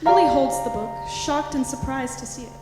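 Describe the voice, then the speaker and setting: A woman reading stage directions as scripted narration, in a measured, expository way. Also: high-pitched, music in the background. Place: high school stage. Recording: echoey hall, long reverb.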